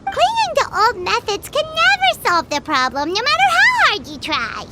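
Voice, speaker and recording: A woman voicing a tiny, childlike fairy companion in a video game, with a squeaky voice and a perky, chatty, know-it-all manner. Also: wildly swooping pitch, moderate pace, heavy background noise, heavily acted.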